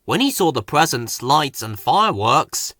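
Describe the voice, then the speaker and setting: A man's voice: mid-range voice, very animated, a little slow, sharp tone, no echo, clean studio sound. A man reading a scripted children's story as its narrator.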